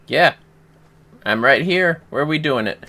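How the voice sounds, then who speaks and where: A man's voice: on the high side, animated, measured pace, sharp tone. A man hosting a casual game livestream, chatting in a playful, joking way. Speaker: livestream host. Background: game music.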